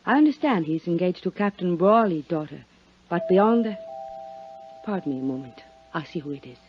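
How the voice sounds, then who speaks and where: A woman's voice: on the low side, animated, moderate pace, close to an everyday voice. A woman playing a cool, coy, hard-headed businesswoman in a 1950s radio drama, speaking with poised, teasing intimacy. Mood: guarded, cool. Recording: muffled vintage 1950s radio recording.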